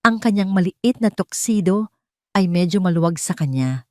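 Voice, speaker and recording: Woman narrating a dramatic story, lowish voice, close and dry. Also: lively intonation, moderate pace, no echo. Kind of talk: dramatic first-person scripted narration.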